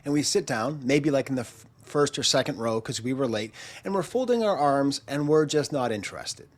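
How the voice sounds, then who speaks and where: A man's voice: mid-range voice, lively intonation, moderate pace. Nasal, congested man telling a story. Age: 40s. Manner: chatty storyteller, self-mocking, acts out quotes. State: nasal from a chest cold. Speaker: channel host. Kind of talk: casual spoken monologue.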